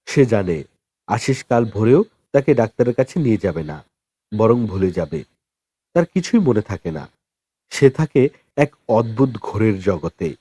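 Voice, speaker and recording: A man narrating a novel as an audiobook in a dramatic, expressive storytelling style with deliberate pauses. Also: mid-range voice, no echo, studio quality.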